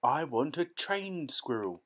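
A man voicing a spoiled, demanding little girl who shouts and whines for what she wants. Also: very animated, slow, exaggerated voice. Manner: shrill, whiny, shouting.

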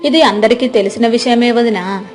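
A woman's voice: a little high, normal speed, darkish tone, soft background music, lightly acted. Secretive young village girl, voiced by a woman. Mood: confiding, pitying. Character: secretive, caring young girl, afraid of her mother. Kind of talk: scripted moral-story dialogue.

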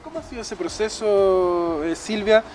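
High-voiced male reporter out on location. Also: normal speed, a little mellow, soft background music. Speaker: field news reporter. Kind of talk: live interview questioning. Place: outdoors at a restaurant terrace. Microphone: handheld reporter microphone. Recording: outdoor street noise with traffic.

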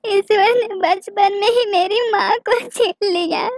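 A woman's voice: extremely high pitch, a little slow, dry recording, pitched above the performer's own voice. A woman voicing a sad, childlike little-sister bird in a children's cartoon, pleading and plaintive. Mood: sorrowful. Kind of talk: Hindi children's cartoon dialogue.

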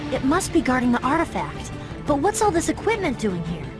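A high, lively female voice for a brave teenage girl in a game. She is earnest and level-headed, with music behind her. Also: a little fast. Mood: focused. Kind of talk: animated game cutscene acting.

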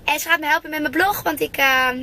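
A woman in her twenties from the Netherlands chatting in a casual vlog, bubbly and excitable, filmed on a handheld camera at arm's length. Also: high-pitched, fast talker, a little hiss or hum. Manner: bubbly, excitable, laughs often. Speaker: vlogger. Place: small car, garden outdoors, living room.

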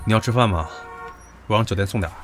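An actor playing a brash, rude young heir who speaks in a brusque, commanding way. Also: male voice, fairly low pitch, quick pace, a little hiss or hum, close to an everyday voice. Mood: bossy. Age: young adult. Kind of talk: radio drama acting. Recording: background music.

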